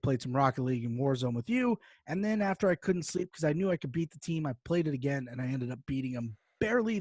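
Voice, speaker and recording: A man co-hosting a podcast, telling gaming stories in an animated, casual, sweary way. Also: a little high, no echo. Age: adult, parent of a young child. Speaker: podcast co-host. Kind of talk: casual chat, long gaming anecdote.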